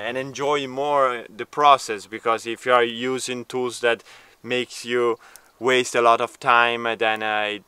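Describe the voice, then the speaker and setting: A man's voice: medium pitch, pushed and bright. A man in his thirties, a native Italian speaker, talking casually to camera outdoors in an olive grove with many hesitant fillers. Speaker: vlogger, homesteader. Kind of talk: casual vlog talk to camera.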